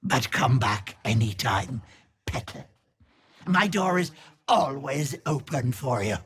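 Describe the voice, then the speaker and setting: An actress playing a gleefully malicious old hag in a video game, raspy and theatrical, with mock-sweet endearments and taunting menace. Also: female voice, very deep voice, animated, takes it slowly, exaggerated voice. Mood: mock-sweet, teasing. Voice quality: husky, raspy cackling old voice.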